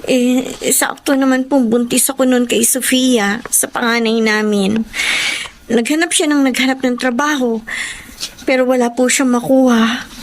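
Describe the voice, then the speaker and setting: A woman's voice: high voice, steady tone, a little slow. An actress playing an apologetic, self-blaming young wife and mother with a Filipino accent, respectful and earnest in a TV drama. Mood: sorrowful. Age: young adult. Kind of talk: naturalistic TV drama acting. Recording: faint background noise, location ambience.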